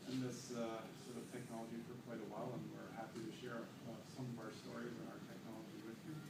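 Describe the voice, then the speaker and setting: A middle-aged Canadian man giving a company presentation at a conference, relaxed and full of fillers. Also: mid-range voice. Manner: relaxed, many fillers, self-corrects. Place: conference hall. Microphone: room mic, later handheld. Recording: echoey hall from far off, faint noise.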